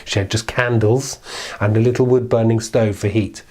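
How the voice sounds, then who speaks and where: A man's voice: fairly low pitch, fairly even intonation, normal speed, a little mellow. Chatty man telling a children's story. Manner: chatty, repetitive, playful. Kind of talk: informal improvised storytelling for children.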